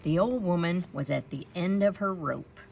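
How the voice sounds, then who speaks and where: A woman reading a picture book aloud to children in an expressive storytelling style. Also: on the low side, normal speed, creaky, dull audio, soft background music. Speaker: storybook read-aloud reader.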